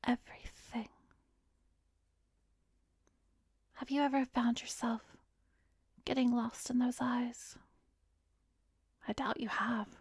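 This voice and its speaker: A woman playing a calm, coaxing female therapist in a whispered ASMR hypnosis roleplay, soothing and measured, with a soft breathy voice close to the microphone. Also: a little high, no room sound, exaggerated voice. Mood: coaxing, intimate. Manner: slow, soothing, measured guiding with pauses. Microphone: close microphone, dry recording.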